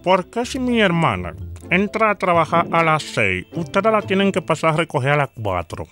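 Casting: male actor as anxious young Dominican caller, higher-pitched, very animated intonation, hesitant and rambling, for phone-prank comedy. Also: normal speed, soft background music. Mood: indignant, rambling. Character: nervous, rambling young man worried about a job drug test. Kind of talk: improvised prank phone call, casual chat.

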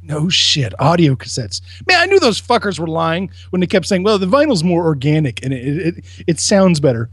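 A man's voice: a little high, very animated, brisk, hardly any pauses, a bit bright, breathy edge, dry recording, clean studio sound. A man co-hosting a podcast in casual banter, with a blunt, sarcastic and mock-incredulous way of talking. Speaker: podcast co-host.

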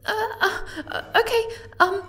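A woman voicing a gentle, timid, caring young moth girl in an ASMR roleplay, soft-spoken and hesitant. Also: very high-pitched, steady tone, a little slow, exaggerated voice. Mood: panicked.

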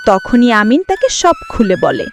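A woman narrates a children's fairy tale. Her voice is fairly high and moves with lively intonation, over soft background music. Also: unhurried, highs cut off. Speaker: storyteller.

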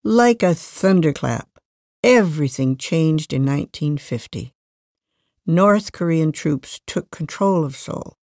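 A voice reading a first-person life story from a magazine in a measured, steady way. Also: medium pitch, no echo.

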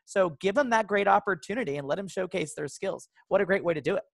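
A woman's voice: mid-range voice, fast talker, gravelly, no echo, clean studio sound. A woman giving casual, encouraging advice to colleagues on a video call.

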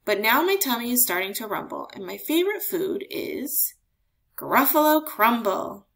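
A woman's voice: a little high, sing-song, moderate pace, creaky, a bit bright, full character voice. A woman voicing a small, clever, boastful mouse in a storybook, polite but bold as it bluffs.

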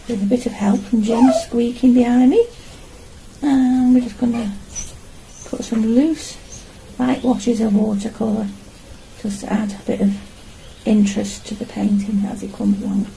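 Middle-aged woman, casual hands-on art vlogger, mellow delivery with plenty of creak, noisy home recording. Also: on the high side, fairly even intonation, measured pace. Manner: chatty, talking to herself as she works. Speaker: artist and vlogger. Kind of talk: casual narration while painting. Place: small home art studio, indoors.

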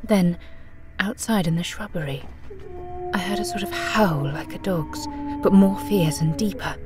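An actress playing a frightened, weakened young woman, narrating in a breathy, dramatic whisper in an audio drama. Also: lowish voice, measured pace, music in the background, lightly acted. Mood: fearful. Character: frightened, weakened young woman writing her last record.

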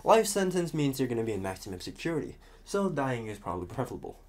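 A man playing a talkative, restless psychopath convict in an ASMR roleplay, protective and scheming, with halting, muttered asides. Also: mid-range voice, very animated, a little mellow, close to an everyday voice. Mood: insistent, protective.

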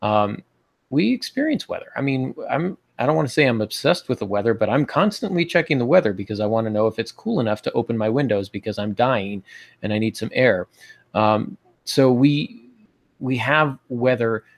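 Podcast host: American male, forties, creaky voice, informal teaching style. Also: medium pitch, animated, normal speed. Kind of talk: casual instructional podcast talk. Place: small home office, indoors. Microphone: earbud headset on video call.

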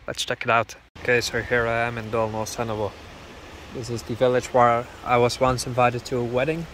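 A man narrating a casual travel vlog, explaining as he goes with many pauses, filmed outdoors on a handheld camera. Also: mid-range voice, fairly bright tone. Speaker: travel vlogger. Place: outdoors on village streets and in a parked car.

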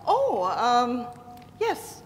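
Actress as Indian hostess mother, stage drama, polite but controlling, high voice, long hall reverb. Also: wildly swooping pitch, very slow, exaggerated voice. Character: genteel, controlling mother hosting a gathering. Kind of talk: dramatized stage reading of a play. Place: large hotel ballroom stage. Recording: echoey hall with room noise.

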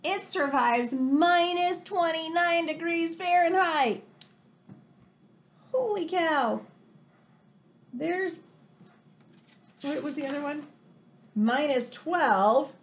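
An American woman in her thirties reading a picture book aloud like a teacher to children, expressive and exclamatory, with chatty questioning asides. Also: high-pitched, slow, pauses often. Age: 30s. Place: room in a home, indoors.

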